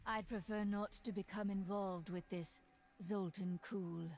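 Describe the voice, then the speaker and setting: A woman's voice: medium pitch, fairly even intonation, unhurried, darkish tone, natural voice. A woman voicing a cold, self-possessed heroine in a fantasy video game, speaking in a formal, reserved way. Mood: cool, questioning. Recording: prominent music behind.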